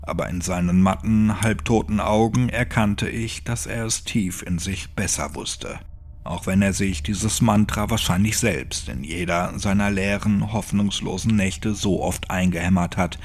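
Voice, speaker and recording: Low-voiced man narrating horror. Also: fairly even intonation, a little slow. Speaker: audiobook narrator. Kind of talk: dramatic scripted horror narration. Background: music.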